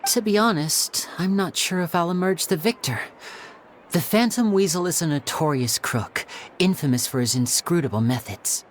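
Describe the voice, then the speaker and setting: A man's voice: on the high side, moderate pace, a little hiss or hum, lightly acted. A man playing a suave young stage magician. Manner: smooth, polished, showman-like. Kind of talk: video game dialogue.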